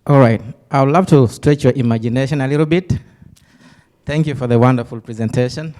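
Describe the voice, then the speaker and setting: A man with a Kenyan accent, a graduate student in the audience, asking a speaker questions politely and in a measured way. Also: medium pitch, warm tone.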